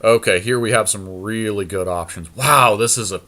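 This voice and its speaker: A man's voice: on the low side, normal speed, pushed and bright. A man co-hosting a podcast, giving casual, informal commentary on a card game draft as he thinks aloud.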